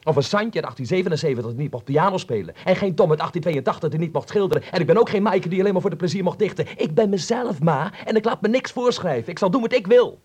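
An actor playing a bitter, defiant teenage son in a Dutch radio play, sardonic in manner. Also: male voice, sits high, lively intonation, fast talker, few pauses, a little mellow, breathy edge.

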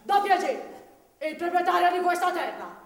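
A woman's voice: extremely high pitch, lively intonation, normal speed. A woman playing an imperious landowner in a commedia dell'arte comedy, declaiming and giving commands to a servant. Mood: pompous. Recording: echoing hall, distant microphone.

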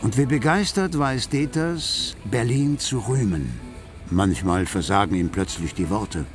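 A man's voice: on the low side, measured pace, close to an everyday voice. Articulate actor as a wry male narrator over music. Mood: wry, measured. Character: wry male narrator of a bohemian Berlin tale. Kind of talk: literary radio play narration. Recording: music behind the voice.

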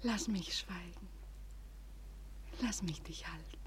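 An actress playing a shy, devoted young maiden in love in a classic German radio play, breathy and fervent. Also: female voice, fairly low pitch, slow, slight background noise. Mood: adoring, hushed. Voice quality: breathy, often near whispering. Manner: theatrical, fervent, hushed.

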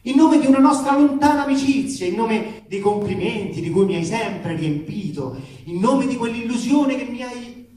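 A man's voice: very high-pitched, lively intonation, normal speed, darkish tone. A man gives a comic theatrical reading of a letter, emphatic, aggrieved and ironic, in colloquial language with swearing. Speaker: actor reading aloud. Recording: echoey room, distant mic, faint noise.

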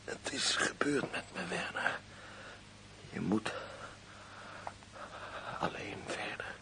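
Dying wounded man, radio drama, super slow creaky whisper, gasping bits of sentences. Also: mid-range voice, big pitch swings, exaggerated voice. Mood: resigned. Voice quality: breathy, broken whisper. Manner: halting, gasping short phrases. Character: gravely wounded dying man, resigned and loyal. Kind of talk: radio play acting.

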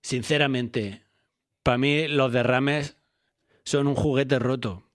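A man from Jaén, a former punk band member talking in a relaxed, rambling, slangy way. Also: mid-range voice, slow pace, a little hiss or hum. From Jaén, Spain. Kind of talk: laid-back interview monologue.

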